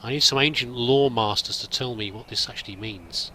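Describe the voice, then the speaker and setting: A man's voice: medium pitch, brisk, sharp tone, slight background noise. A man roleplaying a pilgrim in a game, musing and thinking aloud in a hesitant, wandering way. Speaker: let's play roleplayer. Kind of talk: in-character game commentary.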